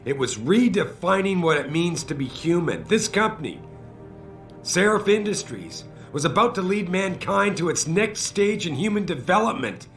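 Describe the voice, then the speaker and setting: A man's voice: sits high, sing-song, normal speed, a bit bright, exaggerated voice. A man playing an idealistic, driven company chief in a video game, speaking with earnest, persuasive emphasis. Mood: impassioned. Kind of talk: cinematic video game acting. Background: faint background music.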